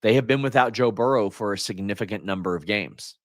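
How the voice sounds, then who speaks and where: A man hosting a solo sports talk stream, hoarse and frustrated, venting like a fan and often restarting his sentences. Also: fairly low pitch, fairly quick. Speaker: host.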